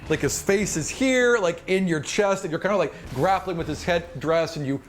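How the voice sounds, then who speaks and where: A man with a fairly high voice runs a fantasy tabletop game. He narrates off the cuff as game master, animated and dropping in vocal sound effects. There is clear noise behind him. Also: moderate pace.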